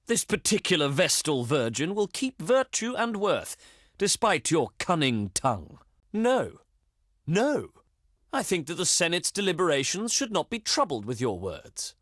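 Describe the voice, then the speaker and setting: Game diplomat role: self-important Roman envoy, pompous and oratorical, fairly high male voice with big pitch swings. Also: wildly swooping pitch, fairly bright tone, no echo, clean studio sound, exaggerated voice. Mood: scornful refusal. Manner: pompous, oratorical, deliberate delivery. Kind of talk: scripted video game diplomacy voice acting.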